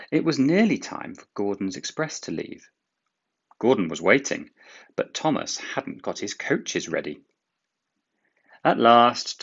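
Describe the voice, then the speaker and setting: A man reads a children's storybook aloud at a slow pace, with lively, expressive intonation. His lowish voice has plenty of creak, and the recording is slightly dull.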